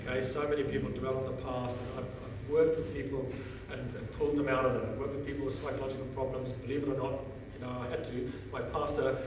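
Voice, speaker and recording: A man's voice: medium pitch, little pitch movement, fast. Older man telling stories in an echoing hall. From Zambia. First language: Bemba. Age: 60s. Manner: conversational storyteller, frequent restarts and "okay?" check-ins. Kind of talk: testimony talk at a seminar. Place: large seminar hall. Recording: echoey hall from far off, with background noise.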